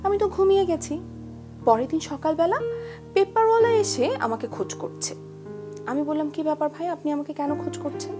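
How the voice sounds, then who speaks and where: A sentimental wife in a TV drama, with a very high, lilting Bangladeshi voice. She tells stories in an animated, girlish, intimate way, with music playing underneath. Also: brisk. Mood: animated, nostalgic. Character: sentimental married woman fondly retelling her love story. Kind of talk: TV drama dialogue, intimate storytelling.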